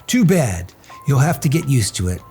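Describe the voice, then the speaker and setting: A man's voice: mid-range voice, sing-song, moderate pace, dark tone, soft background music, close to an everyday voice. A man voicing a clever, confident, teasing storybook hero with an unruffled, mocking manner.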